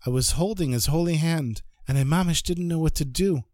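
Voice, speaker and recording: A man voicing a wealthy male disciple who tells his story calmly and earnestly. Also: fairly high pitch, brisk, dry recording, studio quality, natural voice.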